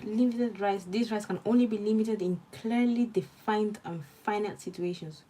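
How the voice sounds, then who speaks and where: A woman's voice: medium pitch, normal speed, warm tone, slight background noise, low-quality recording. A young woman from Nigeria with a Nigerian accent, a law student murmuring her notes aloud and chatting with viewers on a casual study livestream. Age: young adult.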